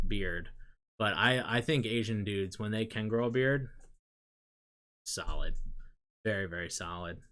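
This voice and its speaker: A young man chatting loosely with his viewers, rambling and trailing off into quiet asides. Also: fairly low pitch. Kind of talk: casual rambling chat.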